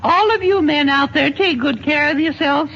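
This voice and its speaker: An actor playing a sardonic, wisecracking woman in a 1950s radio comedy, with a creaky voice. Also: high voice, normal speed, a bit bright. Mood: dry, wisecracking. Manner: dry, wisecracking. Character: slow, croaky, sardonic female comic character. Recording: muffled, noisy old radio recording.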